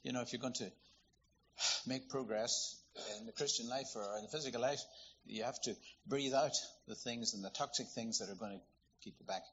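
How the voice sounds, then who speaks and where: A man from Ireland, preaching a sermon in a lively, conversational, storytelling way. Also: medium pitch, a little fast, dull audio, a little hiss or hum. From Northern Ireland.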